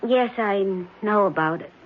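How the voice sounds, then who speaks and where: A woman's voice: medium pitch, normal speed, a little hiss or hum, close to an everyday voice. A woman acting a frightened, doubting middle-aged wife in a 1970s radio drama, hesitant and anxious in her delivery. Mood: anguished. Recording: dull vintage radio broadcast.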